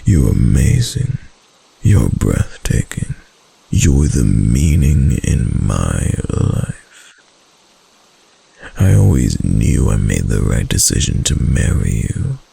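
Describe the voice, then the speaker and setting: Possessive, lovestruck male kitsune husband played by a man for romantic ASMR: extremely low, husky murmur, tender and unhurried. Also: sing-song, exaggerated voice. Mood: adoring, soothing. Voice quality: deep, gravelly, husky, often breaking into whisper. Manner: slow, soft, adoring murmur with lingering pauses. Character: doting, possessive yandere kitsune husband, deep-voiced and tender. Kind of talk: intimate romantic ASMR roleplay.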